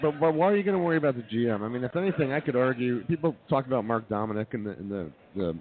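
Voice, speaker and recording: A man's voice: mid-range voice, lively intonation, racing. Guy hosting casual sports radio banter, sounds thin and phone-like, mid voice. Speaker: radio show host. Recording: tinny, narrow-band phone-like audio.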